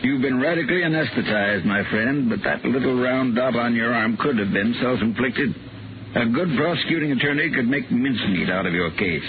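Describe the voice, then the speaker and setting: An actor playing a kindly doctor in a 1950s radio drama, speaking in a measured, clinical and precise way. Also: male voice, mid-range voice, expressive, natural voice. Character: kindly, measured male doctor, old friend. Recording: muffled, noisy old radio recording.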